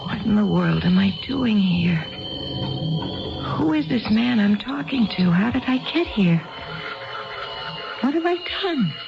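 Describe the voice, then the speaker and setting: Hesitant, rambling wife in old radio drama. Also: female voice, mid-range voice, lively intonation, a little slow, gravelly, long pauses, highs cut off. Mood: bewildered. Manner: hesitant, rambling, seeking reassurance. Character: confused, chatty, insecure wife drinking alone in a bar. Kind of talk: 1970s radio drama acting. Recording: band-limited 1970s radio broadcast, faint music.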